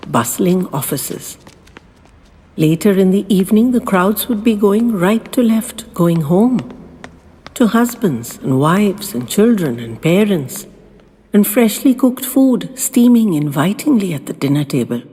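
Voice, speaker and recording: A woman narrating a first-person audio story in a wistful, theatrical and deliberate way. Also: medium pitch, warm tone, slightly rough. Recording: long reverb, music underneath.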